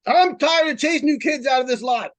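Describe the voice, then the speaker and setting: A cranky neighborhood man in an old-time radio play. His voice is strained way up high as he calls out and scolds in broken grammar. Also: fairly quick, no echo. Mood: angry, scolding. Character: cranky grown man scolding trespassing children. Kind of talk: old-time radio suspense drama, performed live.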